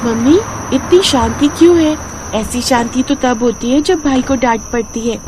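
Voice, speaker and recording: A woman's voice: on the high side, normal speed, noisy background, close to an everyday voice. A woman voicing an innocent, chatty little girl in a cartoon story, with a childlike manner. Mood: uneasy, curious. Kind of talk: cartoon moral story acting.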